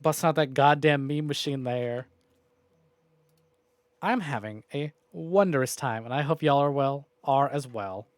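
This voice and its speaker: A man's voice: mid-range voice, unhurried, no echo. A man livestreaming games, talking to viewers in an informal, rambling way with some mild swearing. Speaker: video game livestreamer. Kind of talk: casual chatty stream sign-off to viewers.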